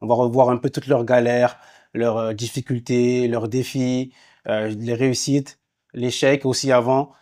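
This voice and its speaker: A man's voice: mid-range voice, flat delivery, a little slow, a little mellow. A man hosting a casual sports podcast, talking in a hesitant way with many fillers and restarts. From France. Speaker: podcast host, former footballer. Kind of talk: casual interview chat.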